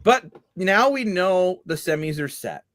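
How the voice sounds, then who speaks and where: A man in easy podcast banter, voice bouncing up and down as he riffs with his co-hosts. His tone sits a little high, and the dry sound of a close microphone carries it. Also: a little slow, dry recording. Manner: animated, loud when riled up. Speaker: podcast host. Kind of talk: casual sports chat.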